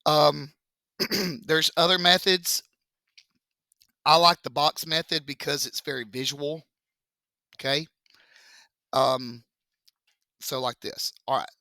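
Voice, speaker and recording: Male teacher with a froggy voice; slow, jokey, bright and forceful tone. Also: a little high, steady tone, creaky, no echo, studio quality. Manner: joking, chatty, slow step-by-step explaining. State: deep froggy voice from a cold. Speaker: math teacher. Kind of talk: casual classroom lesson video.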